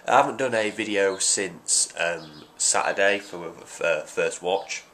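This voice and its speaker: A man's voice: low voice, measured pace, fairly bright tone. A young man in his twenties with a congested voice from hay fever, chatting casually and rambling with many ums as he unboxes items at home. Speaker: vlogger. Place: small room at home, indoors. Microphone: webcam at desk. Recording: noisy home webcam audio.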